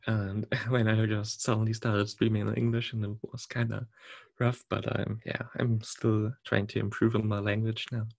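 A man from Germany speaks with a German accent and a low, gravelly voice. He talks casually as a podcast guest, breaking off and restarting words and chuckling now and then. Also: little pitch movement, normal speed. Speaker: podcast guest, competitive game player.

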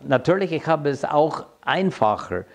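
A man in his seventies with an American accent and English as his first language, giving a reflective personal account and haltingly searching for words. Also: on the high side, sing-song. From United States. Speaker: interviewee telling his migration story. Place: quiet room indoors.

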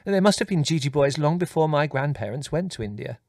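A man's voice: a little high, lively intonation, brisk, darkish tone, dry recording, clean studio sound, pitched above the performer's own voice. A man voicing a flustered, vague mother who talks in a fluttery way.

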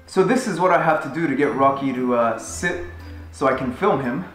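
A man's voice: medium pitch, normal speed, echoey room, soft background music. A man in his thirties, a travel vlogger, chatting casually and upbeat while he narrates a road trip from his living room. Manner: upbeat and conversational. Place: living room at home, indoors.

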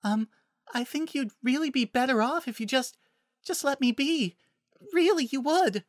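High, timid, gentle druid girl. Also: lively intonation, moderate pace, no echo, clean studio sound. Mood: nervous, scared. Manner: nervous, hesitant, soft-spoken, stammering. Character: timid, gentle, apologetic young female druid. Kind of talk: audiobook-style fantasy story character.

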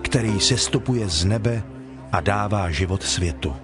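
Guy acting calm holy teacher in bible drama, kinda deep, solemn n measured, music behind. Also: natural voice. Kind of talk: dramatized scripture reading.

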